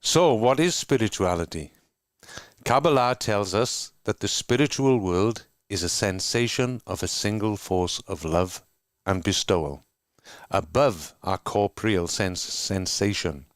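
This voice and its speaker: A man from Wales with a Welsh accent, co-hosting an online discussion and reading prepared remarks in a measured, earnest way over a video call. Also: lowish voice, dry recording, clean studio sound. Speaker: co-host. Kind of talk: scripted welcome and reading.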